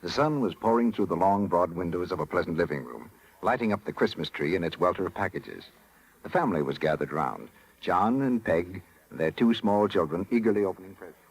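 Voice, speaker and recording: An American man announcing on radio, reading scripted 1930s narration in a polished, measured way. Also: low-pitched, lively intonation, slightly rough, poor audio. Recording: 1930s radio broadcast with music.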